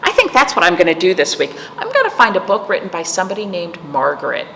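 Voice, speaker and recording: A woman hosting a storytime for children, reading aloud and chatting in a gentle, playful manner to young listeners. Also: fairly low pitch, huge pitch swings, fast talker. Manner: gentle, playful, addressing young viewers as friends. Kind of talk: read-aloud and chat for children. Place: indoors, room with aquamarine wall. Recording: echoey room with clear background noise.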